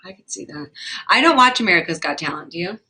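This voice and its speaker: A woman's voice: on the low side, animated, quick pace, sharp tone, low-quality recording. A young woman hosting a livestream chat, reading viewer comments aloud and joking around with lots of fillers. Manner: chatty, giggly, lots of fillers. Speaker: livestream host.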